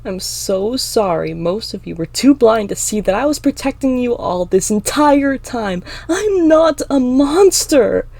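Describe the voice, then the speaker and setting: A woman voicing an anguished, defiant teenage demon girl in a fantasy story, pleading in impassioned shouts. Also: fairly high pitch, big pitch swings, a little slow, gravelly, few pauses, no room sound, pitched above the performer's own voice, exaggerated voice. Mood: tearful, pleading. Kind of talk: dramatic fantasy audiobook acting.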